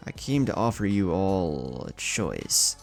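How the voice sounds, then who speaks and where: A man voicing an amused, menacing undead old warlord in a calm, drawling, taunting manner. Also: sits low, slow, faint music behind, full character voice. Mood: calm menace.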